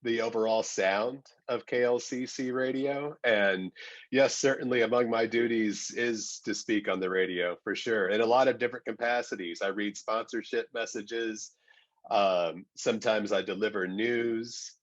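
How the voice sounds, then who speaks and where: A man giving measured, explanatory answers as a radio program director, often repeating the start of a phrase. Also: on the low side, steady tone, lo-fi sound. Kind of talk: explanatory question-and-answer talk.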